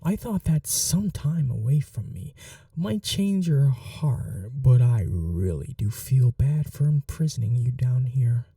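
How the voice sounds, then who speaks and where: Casting: woman to play possessive vampire mistress for ASMR roleplay, very soft dark tone, slow teasing delivery, breathy whispers. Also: a bass voice, animated, no echo, recorded from a distance, exaggerated voice. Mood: tender, regretful. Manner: teasing, coaxing, unhurried. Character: cruel, doting vampire mistress toying with her captive.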